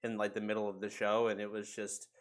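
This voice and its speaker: A man's voice: on the low side, completely flat delivery, fast talker. A man hosting a casual podcast, talking in a rambling, profane way. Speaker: podcast host.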